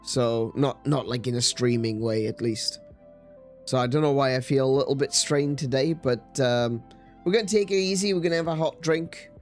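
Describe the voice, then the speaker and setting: Slightly croaky male streamer, rambling. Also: mid-range voice, moderate pace, soft background music. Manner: rambling, hesitant, many fillers and restarts. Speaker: streamer host. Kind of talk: casual live-stream chat.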